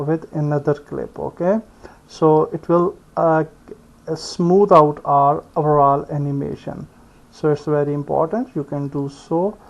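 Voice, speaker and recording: A man giving an unscripted step-by-step software tutorial, speaking haltingly and thinking aloud as he works. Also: on the high side. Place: indoors, screen-recording voice-over. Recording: dull lo-fi voice recording.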